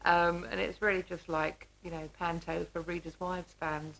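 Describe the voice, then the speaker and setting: A woman's voice: on the low side, little pitch movement, normal speed, creaky, fairly bright tone. A woman chatting frankly and colloquially in an interview. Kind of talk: informal interview chat. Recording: noisy location interview.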